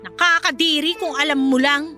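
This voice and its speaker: High-pitched, bitter young Filipino mother. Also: steady tone, normal speed, pushed and bright, faint music behind. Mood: bitter disgust. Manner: curt, sarcastic, confrontational. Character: bitter, resentful young mother rejecting her baby and partner. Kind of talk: Filipino radio drama acting.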